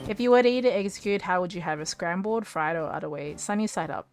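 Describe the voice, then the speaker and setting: A woman's voice: fairly low pitch, quick pace, soft background music. A woman streaming a game live, rambling and giggling with her viewers while she tires and starts losing her voice. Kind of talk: casual live-stream chat while gaming.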